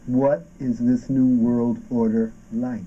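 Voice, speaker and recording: A man's voice: mid-range voice, flat delivery, slow pace, husky. An actor playing a tormented existential man in an avant-garde stage drama, declaiming with odd stresses. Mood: probing. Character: tormented existential man questioning being and nothingness. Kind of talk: avant-garde theatrical performance of a radio play. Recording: dull, muffled stage recording with noise.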